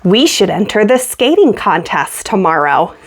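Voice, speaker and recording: A woman's voice: mid-range voice, very animated, unhurried. A friendly schoolboy skater from a children's storybook, voiced by a woman reading aloud. He is helpful and talks like a kid among friends. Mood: eager.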